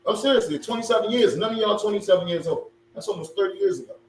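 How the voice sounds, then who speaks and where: A man in his forties with a mixed Philadelphia and Baltimore accent, co-hosting a casual podcast chat, animated and joking. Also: high voice, racing. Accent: Philadelphia and Baltimore mix. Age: 40s. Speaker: podcast co-host. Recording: roomy echo, far from mic, faint hum.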